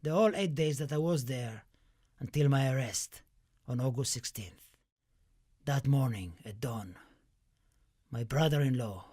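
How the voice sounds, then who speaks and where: A man's voice: mid-range voice, close to an everyday voice. A man playing an impassioned, grieving Spanish poet in a radio play, reciting in a solemn, dramatic manner. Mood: grave, troubled. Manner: solemn, dramatic, swelling from hushed to declaimed. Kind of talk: theatrical radio play monologue and poetry recital.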